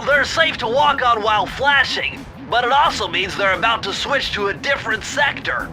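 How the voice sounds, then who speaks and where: A man's voice: very high-pitched, normal speed, rough recording. A man voicing a brainy, nervous male turtle tech expert who speaks in a precise, wordy, fussy way. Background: game music.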